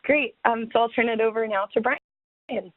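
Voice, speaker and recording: A woman's voice: on the high side, moderate pace, dry recording. A woman giving a prepared, formal webinar presentation over a thin phone-like line.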